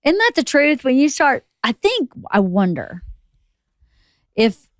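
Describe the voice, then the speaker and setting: Female podcast host with a loud, opinionated delivery and a dry, close sound. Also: high voice, sing-song, takes it slowly, no echo. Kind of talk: casual interview chat.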